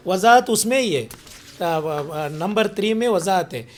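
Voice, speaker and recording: A man giving explanatory interview answers as a board official, halting and repetitive, mixing in English words. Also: high voice, expressive.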